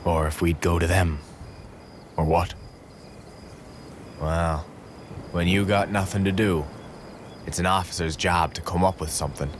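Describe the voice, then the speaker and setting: An actor playing a world-weary, level-headed wartime soldier in a game, plain-spoken and dry, with a Newfoundland accent. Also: male voice, deep voice, fairly even intonation, measured pace, pauses often, slight background noise. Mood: reflective. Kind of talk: dramatic game acting with reflective narration. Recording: music behind the voice.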